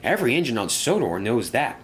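A man's voice: medium pitch, very animated, a little fast, a little hiss or hum, natural voice. A man voicing an earnest, superstitious construction vehicle in a children's story, giving foreboding warnings.

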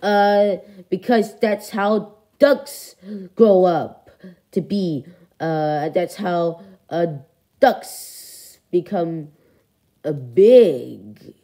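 A woman's voice: on the low side, lively intonation, warm tone, full character voice. A woman playing a weary, evasive caretaker who speaks hesitantly in a comedic cartoon skit. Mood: sheepish. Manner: hesitant, halting.

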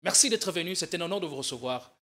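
A young man hosting a political TV interview show, animated and energetic as he introduces his guest and asks questions. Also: on the high side, fast talker. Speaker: TV talk show host. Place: TV studio. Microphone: desk microphone.

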